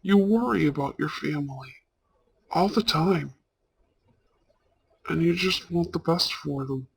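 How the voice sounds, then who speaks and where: Man acting a slow, husky, tender older brother. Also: on the high side, no room sound, full character voice, low-quality recording. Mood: earnest, relieved. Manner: tender, earnest, halting delivery with sprinkled Italian endearments. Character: warm, protective older brother of a mafia groom. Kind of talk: scripted romantic audio roleplay, ASMR.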